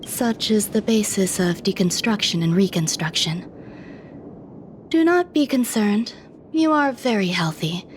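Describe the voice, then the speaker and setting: An actress playing a serene, clinically detached female scientist in a video game cutscene, speaking calmly. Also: mid-range voice, a little slow, a little hiss or hum, natural voice.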